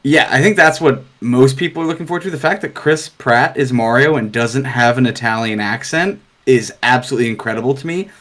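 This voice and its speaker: A man with a mid-range voice chats as a podcast co-host. He is conversational and opinionated, giving his take on films in relaxed, everyday language. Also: mid-range voice, a little fast, hardly any pauses. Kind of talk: casual movie discussion.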